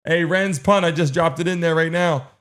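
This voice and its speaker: A man's voice: on the high side, moderate pace, fairly bright tone. A man hosting a live stream, urging his chat along with insistent, repetitive calls and countdowns. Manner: urgent, insistent, repeating himself. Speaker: livestream host running chat polls.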